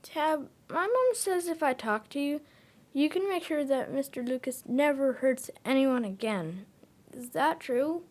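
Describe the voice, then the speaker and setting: High-voiced, slow-talking little boy. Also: expressive, a little mellow. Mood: earnest, hesitant. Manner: childlike, halting, trusting. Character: small, innocent, hesitant young boy. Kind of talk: audio drama acting.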